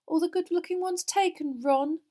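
A woman voicing a haughty, sharp-tongued teenage schoolgirl with a lofty, acid manner in an audiobook. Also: sits high, sing-song, moderate pace, pitched above the performer's own voice, heavily acted. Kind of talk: children's fantasy audiobook dialogue.

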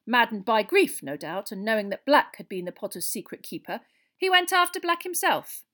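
A woman voicing a pompous middle-aged male government minister in a storybook, officious, measured and grave. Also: a little high, very animated, fairly bright tone, voice pushed up.

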